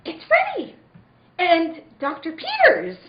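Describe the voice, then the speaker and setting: A woman's voice: very high-pitched, wildly swooping pitch, slow pace, heavy background noise, heavily acted. A woman playing a frightened, pleading wife trapped in a nightmare, in melodramatic old-time radio drama style. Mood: desperate. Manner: melodramatic, breathless pleading and narrating. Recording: dull, echoey room recording.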